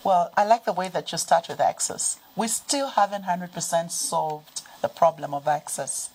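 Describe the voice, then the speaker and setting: A Zambian woman talking as a guest on a TV business show, explaining points one by one in a measured way. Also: fairly low pitch, a little mellow, low-quality recording. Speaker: guest from a financial development organization. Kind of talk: TV business talk show interview.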